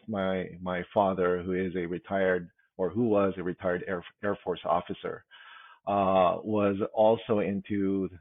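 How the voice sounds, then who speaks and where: Deep gravelly man on a tinny line. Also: no room sound. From Philippines. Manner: halting, many fillers and restarts. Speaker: guest, security executive. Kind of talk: casual interview answers. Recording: tinny phone-like remote line.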